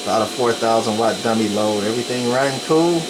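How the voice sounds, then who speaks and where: Man demonstrating gear over clear background noise. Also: mid-range voice, brisk. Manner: plain-spoken, narrating as he works. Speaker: radio hobbyist presenter. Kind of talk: casual hands-on equipment demonstration. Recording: noisy room with steady equipment hum.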